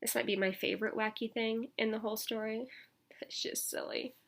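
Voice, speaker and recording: A woman teacher reading a picture book aloud to children, expressive and emphatic, with casual asides to her listeners. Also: a little high, a little fast, creaky.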